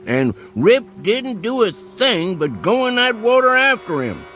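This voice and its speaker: A lively-pitched backwoods coon hunter. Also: male voice, faint music behind, full character voice. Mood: amiable, puzzled. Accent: rural Southern American. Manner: homespun, unhurried, plain-spoken. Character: stubborn, plain-spoken backwoods male coon hunter, loyal to dog. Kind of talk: vintage television drama acting.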